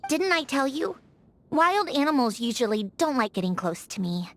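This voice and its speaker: An actress voicing a haughty, prickly young genius lady automaton in a video game, huffy and easily flustered, with a secretly soft heart. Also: high voice, sing-song, moderate pace, slight background noise. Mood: sheepish, huffy. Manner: haughty, huffy, flustered when caught being kind. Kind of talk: scripted video game character voice acting.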